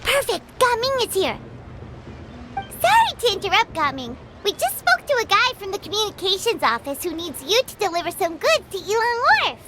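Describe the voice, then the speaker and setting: A woman voicing a tiny, chirpy, self-important fairy companion in a video game, with a squeaky childlike voice. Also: big pitch swings, normal speed, heavy background noise. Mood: cheerful. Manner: speaks of herself in third person, perky. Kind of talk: animated game dialogue.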